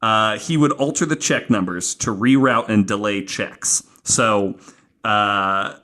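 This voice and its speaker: A man's voice: fairly low pitch, slow, pushed and bright. A man hosting a podcast, telling a true-crime story casually and informally from notes, with some swearing. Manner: informal, profane, acting out quoted remarks.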